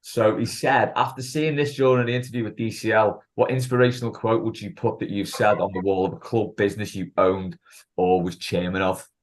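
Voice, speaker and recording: A man co-hosting a football podcast, reading out listener questions in a wry, halting way with many fillers. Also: lowish voice, steady tone. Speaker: podcast co-host.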